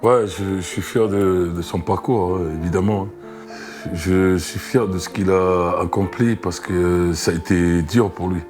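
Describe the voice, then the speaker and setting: A middle-aged man recounting personal memories in an interview, halting and repeating words as he goes. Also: low voice, steady tone. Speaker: rugby mentor and recruiter. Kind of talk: emotional anecdotal interview. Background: background music.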